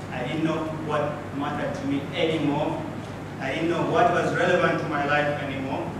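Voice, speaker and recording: Swahili-speaking man, echoey distant room. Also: a little high. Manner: storytelling with dramatic pauses and rhetorical questions. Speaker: club speaker. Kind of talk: prepared public speech. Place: small indoor meeting room with audience. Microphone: far camera, no mic. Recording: echoey room from afar, with background noise.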